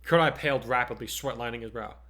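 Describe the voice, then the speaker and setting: A man's voice: medium pitch, fast talker, sharp tone. A man narrating fan fiction in a dramatic, scripted storytelling style.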